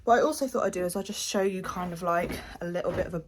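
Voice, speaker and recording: A woman's voice: fairly low pitch, racing, noisy background. A pregnant young woman, rambling casually with lots of fillers as she talks to the camera at home. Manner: chatty, rambling with many fillers. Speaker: vlogger. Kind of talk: casual diary vlog.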